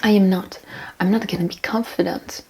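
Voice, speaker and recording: Woman, husky, relaxed tone, casual vlog advice, rambling, repetitive for emphasis. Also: medium pitch, normal speed. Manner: rambling, repeats phrases for emphasis. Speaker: self-improvement vlogger. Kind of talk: casual talk, giving tips and reading out comments.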